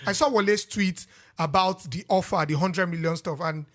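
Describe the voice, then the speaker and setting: A young Nigerian man co-hosting a casual podcast chat, excitable and quick to laugh as he talks. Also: sits high, music in the background. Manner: animated, excitable, laughs mid-sentence. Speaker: podcast co-host.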